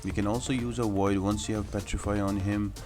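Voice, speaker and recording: A man's voice: on the low side, little pitch movement, brisk, a little mellow, faint music behind. A man giving game walkthrough commentary in an explanatory, matter-of-fact manner, as the host of a guide.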